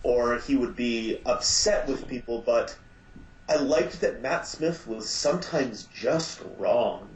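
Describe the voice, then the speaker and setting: A man's voice: mid-range voice, takes it slowly, slight background noise. A man chatting casually on a podcast, musing at length with many restarts and fillers. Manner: thoughtful, rambling with many restarts. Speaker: podcast guest. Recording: roomy home podcast audio.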